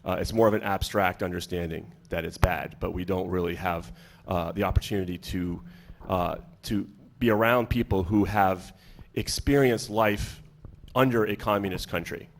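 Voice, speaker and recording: Male teacher from Boston leading a panel: lowish, gravelly voice, loose and rambling delivery, often circling back to rephrase a question. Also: moderate pace, slight background noise. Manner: rambling, searching for words. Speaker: teacher moderating a student panel. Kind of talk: unscripted panel introduction and questions.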